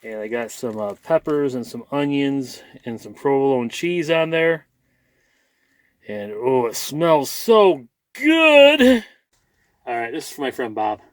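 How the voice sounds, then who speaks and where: A man's voice: medium pitch, lively intonation, slow, dry recording. A man with a folksy, rambling manner, chatting casually as a vlogger inside a truck cab. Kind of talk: casual vlog chat.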